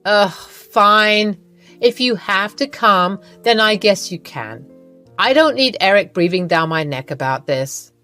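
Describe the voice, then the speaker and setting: A woman's voice: mid-range voice, animated, slow pace, sharp tone, soft background music. A woman voicing a rude, dismissive middle-aged mother-in-law who talks in a curt, impatient, scornful way.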